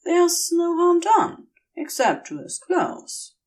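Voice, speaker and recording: A woman reading fiction aloud as a scripted, expressive story narration. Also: very high-pitched, slow. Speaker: audio fiction reader.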